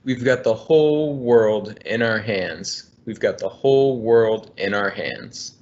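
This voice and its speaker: Um homem de uns trinta anos, engenheiro ambiental, lendo um livro infantil em voz alta, de forma amigável e cadenciada, numa chamada de vídeo. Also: male voice, on the low side, slow, a little mellow. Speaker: environmental engineer. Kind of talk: reading a children's book aloud. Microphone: laptop webcam on video call. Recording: muffled video call audio.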